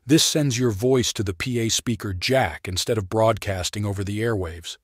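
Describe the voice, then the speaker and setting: A man reads a scripted product tutorial in a clear, instructional manner, explaining each step plainly. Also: lowish voice, normal speed, no echo, clean studio sound. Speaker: product demonstrator and narrator.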